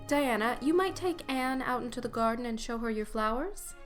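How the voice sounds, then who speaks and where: A woman's voice: a little high, expressive, moderate pace, faint music behind, lightly acted. A woman playing a cordial but strict, resolute mother and neighbour in an audiobook drama, polite, proper and composed. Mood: polite, mildly disapproving. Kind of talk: audiobook drama character acting.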